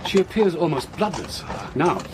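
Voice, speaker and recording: An actor playing a caring young Victorian gentleman doctor in an audio drama, speaking formally and earnestly. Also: on the high side, very animated, normal speed, background noise. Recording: faint background ambience.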